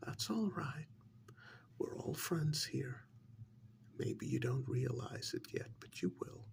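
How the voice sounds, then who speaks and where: A man playing a sly, insinuating interrogator in an ASMR role-play, speaking in a slow, deliberate, soft whisper with mock admiration and dramatic pauses. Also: mid-range voice, animated. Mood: sly, menacing. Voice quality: breathy whisper with gravelly creak. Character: sly, insinuating male interrogator needling a mad scientist.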